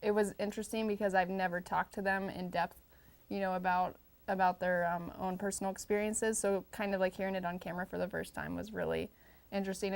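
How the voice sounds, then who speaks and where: A woman's voice: on the low side, gravelly. A woman filmmaker answering interview questions casually, in a hesitant, informal way. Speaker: documentary filmmaker being interviewed.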